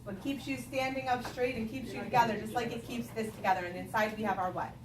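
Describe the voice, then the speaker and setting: A woman's voice: on the high side, steady tone, fast talker. A woman teacher reading aloud to young children, stopping to explain and put questions to them in an instructional way. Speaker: elementary school teacher. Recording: echoey room, distant mic, noisy background.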